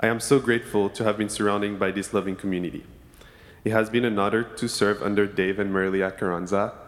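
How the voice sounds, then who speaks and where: A young man from Senegal speaks in a fairly low voice, giving an earnest, measured testimony. The sound carries the long reverb of a church sanctuary. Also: little pitch movement. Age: young adult. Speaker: student speaker in church service. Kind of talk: prepared testimony.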